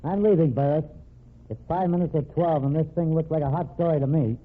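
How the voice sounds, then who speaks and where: Tinny, husky, slick schemer. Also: male voice, a little high, brisk. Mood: brash, gloating. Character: slick, dismissive crooked lawyer, brash and scheming. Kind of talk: old-time radio crime drama acting. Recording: tinny band-limited old radio recording.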